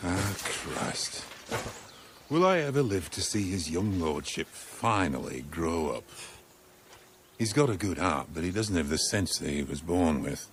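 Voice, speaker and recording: A man playing a gruff, loyal older retainer in a video game, grumbling in a plain-spoken rustic way. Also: sits low, big pitch swings, measured pace, a little hiss or hum. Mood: weary grumbling.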